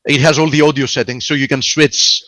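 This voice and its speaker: A man co-hosting a webinar, speaking in a friendly, halting way with lots of fillers. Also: mid-range voice, steady tone, bright, forceful tone, no room sound, low-quality recording. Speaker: co-host. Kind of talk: casual webinar chat.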